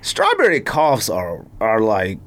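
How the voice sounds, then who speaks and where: A man's voice: lowish voice, wildly swooping pitch, slow, a bit bright, a little hiss or hum. An American man hosting a podcast, chatting casually and emphatically in loose slang. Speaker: podcast host. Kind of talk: casual chat about sneakers.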